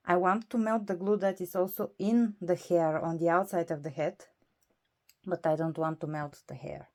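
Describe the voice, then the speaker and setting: A woman's voice: lowish voice, darkish tone, dry recording, studio quality. A woman whose first language is not English, explaining a hobby process in a casual, hesitant way with many fillers and self-corrections. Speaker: doll collector. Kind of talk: casual tutorial.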